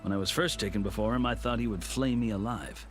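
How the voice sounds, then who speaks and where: Proud veteran warrior-lawgiver, played by a male actor, deep voice, measured formal storytelling for a game cutscene, music underneath. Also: lightly acted. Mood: earnest, hopeful. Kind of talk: scripted video game cutscene dialogue. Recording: music behind the voice.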